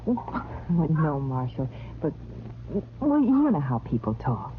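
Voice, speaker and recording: A woman's voice: deep voice, big pitch swings, slow pace, heavily acted. An actress playing a nervous, guarded young frontier woman in an old radio western, hesitant and evasive. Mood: shy, hesitant. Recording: tinny, hissy old radio recording.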